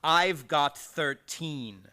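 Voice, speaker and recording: A man's voice: fairly high pitch, pushed and bright, full character voice. Menacing murderous crook played by a man. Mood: boastful, menacing. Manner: deliberate, threatening. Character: menacing, cold, boastful murderous criminal brother. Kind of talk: live old-time radio play acting.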